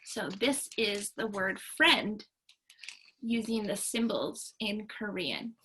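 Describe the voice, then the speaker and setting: A woman teaching young children in a read-aloud lesson, encouraging them with sing-song, drawn-out key words and simple instructions. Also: mid-range voice, sharp tone, rough recording. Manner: encouraging, praising young learners.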